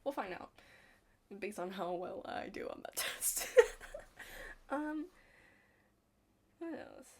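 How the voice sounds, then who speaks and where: A young woman chatting casually in an ASMR study roleplay, rambling and hesitant, hushed and slipping into whispers. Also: medium pitch, animated, slow pace, long pauses. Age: young adult. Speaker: ASMR creator playing a study partner.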